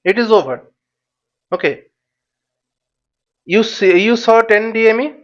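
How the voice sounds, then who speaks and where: A man giving an informal step-by-step tutorial as an instructor, speaking haltingly and repeating his words and phrases. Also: high voice. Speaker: flight simulation instructor. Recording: dull, lo-fi microphone sound.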